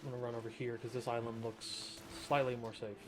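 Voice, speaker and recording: A man's voice: medium pitch, little pitch movement, brisk. Casual male gamer with music behind. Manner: rambling, self-talking, wry. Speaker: gamer, streamer. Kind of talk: casual gameplay commentary. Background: game music.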